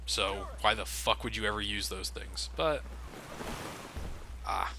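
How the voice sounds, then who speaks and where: A young man giving relaxed, casual commentary over a game, swearing freely and laughing often. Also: lowish voice, takes it slowly, vocal fry. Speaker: let's play commentator. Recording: game gunfire noise behind. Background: game gunfire and ambience.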